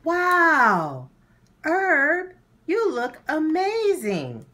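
Lady doing a happy little storybook girl, high and excitable, really swoopy. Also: very slow, heavily acted. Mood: amazed. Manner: bright, exclamatory. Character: cheerful, kind young girl, dinosaur's best friend.

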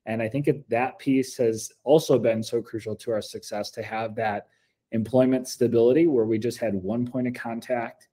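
An American man in his forties giving earnest, measured testimonial answers as a school coordinator, on a video call from an office meeting room. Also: medium pitch, fairly even intonation, dark tone. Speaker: school district program coordinator. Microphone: laptop video call.

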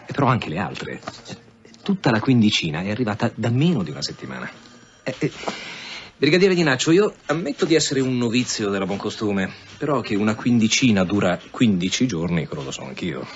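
A sardonic police commissioner in a radio play, persistent and needling. His low voice moves with great animation. The sound is a little dull, with faint noise behind. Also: sing-song, a little fast. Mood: sceptical, needling. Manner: sarcastic, hesitant repetitions building to veiled threats. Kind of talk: Italian radio drama acting.

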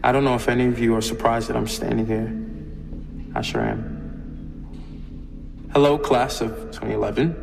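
An actor playing a grieving young man in an audio drama, speaking earnestly and haltingly as he confesses his love. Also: medium pitch, animated, gravelly, darkish tone. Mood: nervous, fond. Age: young adult. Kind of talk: audio drama acting, halting heartfelt speech. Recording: echoey hall reverb, music behind.

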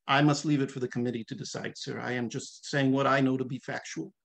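A middle-aged man reading a dialogue from a novel aloud in a formal, measured manner. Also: medium pitch, steady tone, creaky. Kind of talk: dramatic reading of a question-and-answer hearing. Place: small room at home, video call.

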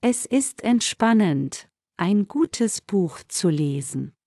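A woman slowly reading German example sentences for learners with careful, measured delivery. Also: mid-range voice, lively intonation, mellow, no echo, clean studio sound. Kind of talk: slow, clear model sentences for learners.

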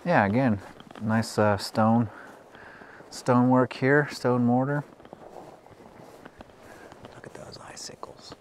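A man's voice: medium pitch, slow, long pauses, slight background noise. A man casually describing an old airport site as he walks around it outdoors. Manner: relaxed, pointing things out as he walks. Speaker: travel vlogger. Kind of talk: casual exploring commentary.

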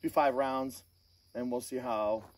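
A man hosting a casual hands-on gear review, talking in a halting way with self-corrections. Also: mid-range voice, steady tone, dry recording. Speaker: host of a gear review channel. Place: outdoor shooting range. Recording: distant mic, outdoor noise, insect chirps.

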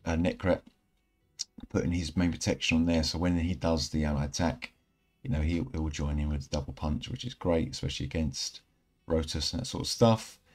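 A man in his thirties giving casual, rambling commentary over mobile game footage, a little croaky from a night out. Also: a bass voice, fairly quick. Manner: relaxed, rambling, self-correcting. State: slightly croaky from singing. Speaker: gaming channel host. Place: indoor room at home.

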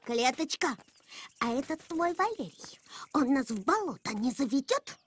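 An actor voicing a spirited, stubborn little female cartoon animal in an exclamatory, expressive way, for a children's show. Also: high voice, normal speed. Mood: urgent. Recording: loud children's music behind. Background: cartoon music.

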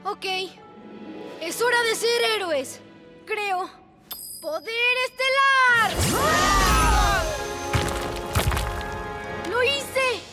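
A woman's voice: very high voice, extremely slow, pauses often, full character voice. A woman voicing an anxious, earnest young boy superhero in a Latin American Spanish cartoon dub. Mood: tentatively brave. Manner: earnest, excitable. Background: loud background music.

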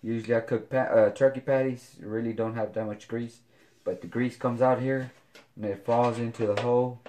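A man, showing kitchen gear in a casual home video, talking haltingly with many fillers. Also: mid-range voice, fairly even intonation, dark tone. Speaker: fitness vlogger. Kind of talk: casual product demo. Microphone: camera on counter.

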